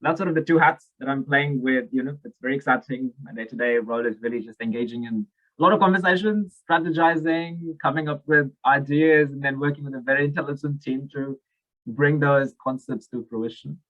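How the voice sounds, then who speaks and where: A man's voice: medium pitch, a little fast. South African man on a muffled video call. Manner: thoughtful, many fillers and restarts. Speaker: conference speaker.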